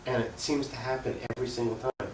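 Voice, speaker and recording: A man's voice: medium pitch, little pitch movement, fast, darkish tone, slight background noise. Man, activist interview guest, emphatic, opinionated, unscripted argument, echoey room, distant mic. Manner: opinionated, emphatic, poses rhetorical questions. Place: room recording for a cable access show.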